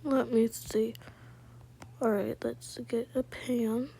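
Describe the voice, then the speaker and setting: Slow, soft-spoken woman, mellow and half-whispering. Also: a little high, little pitch movement, creaky, a little hiss or hum. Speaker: gaming video creator voicing her own gameplay. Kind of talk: casual low-key roleplay narration of gameplay.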